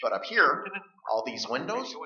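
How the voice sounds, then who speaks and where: A dull, echoey stage recording of a radio play. An actor plays a combative middle-aged man with a very sharp, high voice. He is stubborn and scared, and he stammers as he argues. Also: big pitch swings, moderate pace. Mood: insistent, agitated. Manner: argumentative, agitated, stammering. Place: live stage performance.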